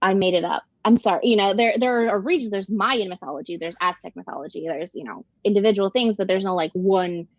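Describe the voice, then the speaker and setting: A woman's voice: mid-range voice, a little fast, hardly any pauses, dry recording. A woman author talks through a panel question in a casual, chatty way, restarting sentences and leaning on fillers. Her voice sits mid-range, and the audio is dry and a little dull and lo-fi. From Ecuador. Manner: chatty, self-interrupting, with many fillers and restarts.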